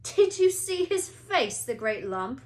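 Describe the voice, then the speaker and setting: A woman's voice: high voice, very animated, slow pace, pitched above the performer's own voice, full character voice. A woman voicing a nasty schoolboy bully in a storybook, with a mocking, sneering manner.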